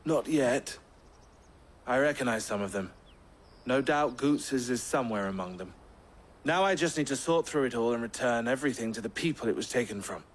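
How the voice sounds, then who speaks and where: A man's voice: medium pitch, normal speed, slight background noise, lightly acted. An actor playing an affable, dutiful and slightly roguish male trader in a fantasy game, with an easygoing, lightly wry way of talking. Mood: wry, satisfied. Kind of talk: scripted fantasy game voice acting. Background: background music.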